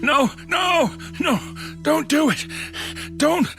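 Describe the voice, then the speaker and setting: A woman voicing a terrified, exhausted captive sheriff in a horror audio drama, pleading in halting, desperate words. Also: sits high, lively intonation, creaky, music in the background, full character voice.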